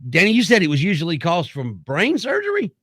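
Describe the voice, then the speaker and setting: A man hosting a morning radio show, bantering and laughing easily between news bits. His voice sits a little high. The studio sound is dry and close. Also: huge pitch swings, fairly quick, fairly bright tone, husky, no room sound. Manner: joking, laughs often, reads news stories aloud. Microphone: studio microphone.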